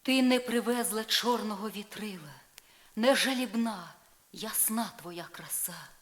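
An actress playing a proud, jealous, sorrowful spurned wife in a solemn verse drama, shifting from breathy hush to declamation. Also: female voice, medium pitch, a little slow, close to an everyday voice. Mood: proud, mournful. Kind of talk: dramatic poetry reading, slow and solemn. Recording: vinyl record rip.

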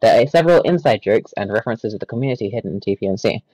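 Man rattling off a wiki page fast. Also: fairly low pitch, animated, warm tone, dry recording, rough recording. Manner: stumbling over words and restarting. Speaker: voice chat participant reading a wiki page aloud. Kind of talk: rapid deadpan read-aloud in a voice chat.

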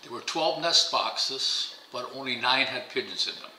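A man's voice: medium pitch, lively intonation, normal speed, pushed and bright, roomy sound, a little hiss or hum, low-quality recording. An older man whose first language is German, telling stories in a casual interview at a dining table at home, rambling and repeating words. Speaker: interviewee, pigeon fancier. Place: bright home dining room, indoors.

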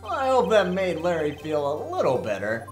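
A man's voice: a little high, wildly swooping pitch, moderate pace. A man playing a cocky, wisecracking young defense lawyer, sarcastic and boastful, in a visual novel fan dub. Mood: smug, playful. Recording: roomy sound, music behind. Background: game music.

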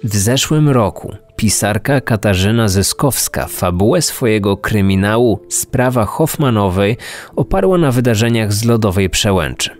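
A man's voice: on the low side, animated, normal speed. A man hosting a true-crime podcast in scripted narration. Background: music under the voice.

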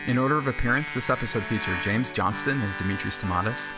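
A man's voice: medium pitch, quick pace, loud music behind the voice, poor audio. A man reading scripted show credits as an announcer.

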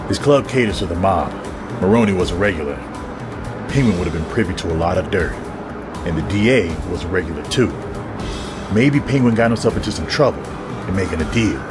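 A man's voice: low voice, animated, brisk, music in the background. A man acting an earnest, dogged police detective in an audio drama, hard-boiled and pressing. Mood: wary.

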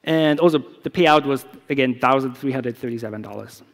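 A middle-aged man, a native German speaker, walks an audience through a live demo from a conference stage. He talks in a relaxed, explanatory way, restarting phrases often, with an average pitch. His voice carries a long reverb from the large hall. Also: fairly quick. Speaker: security researcher.

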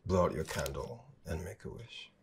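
A man playing a caring boss who speaks softly and reassuringly, hesitating at times. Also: rumbling low, expressive, normal speed, noisy background. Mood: warm. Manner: soft-spoken, reassuring, hesitant. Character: caring male boss, gentle and thoughtful. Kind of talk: amateur skit acting.

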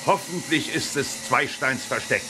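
An actor playing an impatient, grumbling general in a children's sci-fi radio drama, with an emphatic, commanding delivery. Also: male voice, medium pitch, a little slow, heavy background noise, natural voice. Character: impatient, blustery male general, bold and grumbling.

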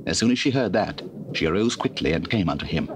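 Low, expressive British scripture narrator, male. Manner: measured, solemn. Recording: hissy old cassette recording.

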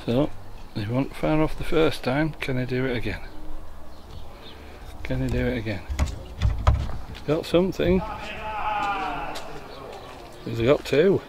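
A man with a gravelly, creaky voice talks through a bowls match as it unfolds, chatty and explanatory. He is recorded outdoors on a camera mic, with clear background noise and birds around him. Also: mid-range voice, slow pace, long pauses. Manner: chatty, explaining the play as it happens. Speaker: commentator filming the match. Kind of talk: casual amateur sports commentary. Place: outdoors at a bowling green.

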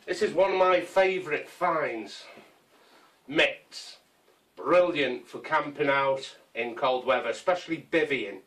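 A man in his fifties, casually showing his camping gear in a small conservatory, rambling and unhurried with many ums and restarts. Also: on the high side, fairly bright tone, recorded from a distance, rough recording. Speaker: survival gear vlogger. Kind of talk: casual show-and-tell. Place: small conservatory full of kit. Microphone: camera mic from a few feet away.

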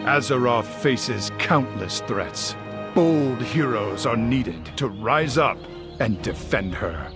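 A man narrating a heroic fantasy game in a solemn, grand, measured scripted style. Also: medium pitch, animated, music in the background.

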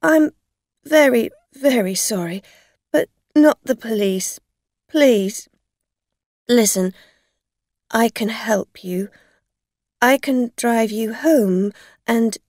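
A woman's voice: medium pitch, big pitch swings, drawn-out words, gravelly, darkish tone, dry recording, studio quality, heavily acted. A woman voicing a tipsy, frightened woman driver in an audio story, hesitant, stammering and pleading. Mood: afraid, pleading. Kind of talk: acted dialogue in an audio story.

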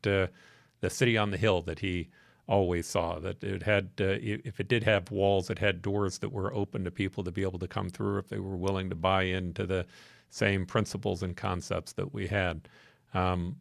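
An American man in his sixties with a low voice full of vocal fry hosts a podcast interview. He puts his questions in a measured, thoughtful way. The recording is dry and close-miked. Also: dry recording. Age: 60s. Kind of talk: conversational studio interview. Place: small wood-panelled podcast studio. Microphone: close boom-arm studio microphone.

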